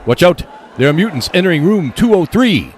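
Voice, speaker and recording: Guy doing a tense soldier in a radio play, dramatic and urgent, pitch jumps around, bit of hiss. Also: sing-song, fairly quick, some chatter behind. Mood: alarmed. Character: tense male human defender shouting urgent battle reports.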